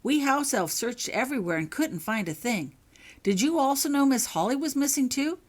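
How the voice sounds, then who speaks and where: A woman voicing a small elderly male house elf in a storybook, an anxious and eager servant who speaks deferentially and excitably. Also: high-pitched, moderate pace, voice pushed up.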